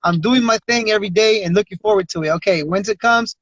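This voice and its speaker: A man's voice: high-pitched, fast, fairly bright tone, no echo. A man hosting a casual interview podcast, talking in an encouraging, slangy conversational way. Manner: slangy, encouraging, often says "bro" and "you know what I mean". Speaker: podcast host. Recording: dry, dull lo-fi sound.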